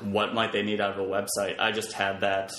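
Low-voiced man chatting in an echoey room. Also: monotone, fairly quick, pushed and bright. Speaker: interview guest, web developer. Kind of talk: casual podcast chat. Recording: roomy echo, faint background noise.

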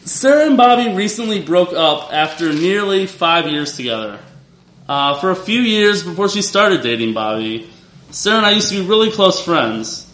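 A man telling a personal story to a live audience in a wry, confessional way, speaking loudly without the microphone. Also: high-pitched, big pitch swings, a little slow. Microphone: not using the microphone. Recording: roomy live venue sound.

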